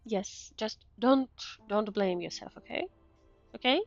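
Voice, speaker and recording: A woman's voice: medium pitch, a little slow, highs cut off, soft background music. A woman streaming a video game with casual live reactions, dropping sympathetic interjections and repeating her phrases.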